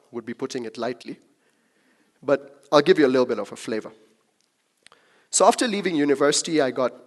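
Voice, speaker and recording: Young man giving a conversational talk. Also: medium pitch. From Kenya. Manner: conversational, jokes with the crowd. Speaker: speaker at a talk. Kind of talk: personal talk to a live audience.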